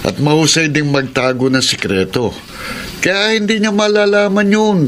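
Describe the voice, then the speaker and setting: A man's voice: on the high side, fairly even intonation, normal speed, fairly bright tone, lightly acted. A man playing a boastful, scheming boyfriend with a secret in a Filipino drama. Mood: smug. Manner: smug, boastful. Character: scheming, boastful boyfriend who hides his shady job. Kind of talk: Filipino audio drama acting. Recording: faintly noisy amateur recording.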